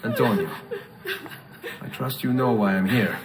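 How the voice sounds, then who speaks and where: An actor playing a young, brooding, determined Italian assassin in a video game, speaking in a measured, serious way with an Italian accent. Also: male voice, on the low side, close to an everyday voice. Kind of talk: dramatic video game acting. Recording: roomy echo, music behind. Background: music.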